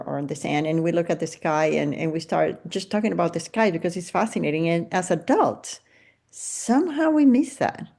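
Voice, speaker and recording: Low-pitched older woman podcast host. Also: darkish tone. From Venezuela. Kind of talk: casual interview chat.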